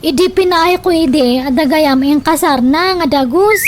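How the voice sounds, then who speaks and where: A woman's voice: high voice, moderate pace, a little hiss or hum, natural voice. A woman acting a hot-tempered, jilted village woman in an Ilocano comedy radio drama, melodramatic and indignant, with an Ilocano accent. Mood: wounded, complaining.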